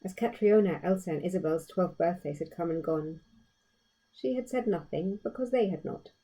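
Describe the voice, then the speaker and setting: Woman reading a children's story, gently husky. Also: fairly low pitch, low-quality recording. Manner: measured, storytelling delivery with emphatic phrasing. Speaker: author reading own story. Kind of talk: expressive children's audiobook narration.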